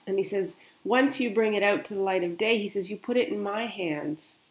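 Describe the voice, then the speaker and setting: A soft-spoken woman leads a channeled guided meditation and relays what she is told in a gentle, unhurried way. Her voice sits at an ordinary middle pitch. The room around her adds a noticeable echo. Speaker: spiritual medium.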